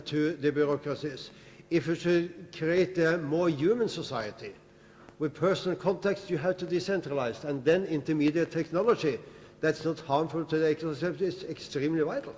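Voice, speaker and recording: A male panelist with a somewhat high voice lays out his reasoning step by step. He sounds argumentative but considered. The long hall reverb gives it the feel of an old festival debate. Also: normal speed, darkish tone. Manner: argumentative, builds a reasoned case.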